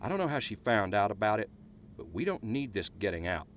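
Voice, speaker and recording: Male actor as corrupt sheriff, low voice, gruff, coarse, menacing, animated intonation, horror radio play. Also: brisk, a little hiss or hum. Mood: conspiratorial. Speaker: actor in an audio drama. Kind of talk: horror audio drama acting.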